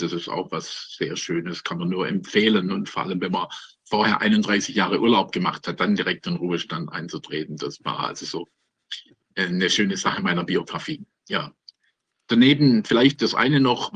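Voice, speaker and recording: Swabian man in his 70s, husky, low, good-humored guest on a video link. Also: a bit bright. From Stuttgart, Germany. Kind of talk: relaxed self-introduction with dry jokes. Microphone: video call.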